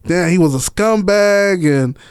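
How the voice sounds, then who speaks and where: A man's voice: high voice, unhurried, clean tone, no echo, clean studio sound. A man telling personal stories casually as an interview guest, slangy and unfiltered, swearing freely. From Miami, Florida. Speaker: interview guest, author and stage play director.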